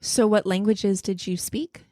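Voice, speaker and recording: A woman's voice: mid-range voice, fairly even intonation, a little slow, dark tone, no room sound, clean studio sound. A woman from the United States in her thirties, co-hosting a casual podcast with bubbly, giggly, playful teasing. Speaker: podcast co-host.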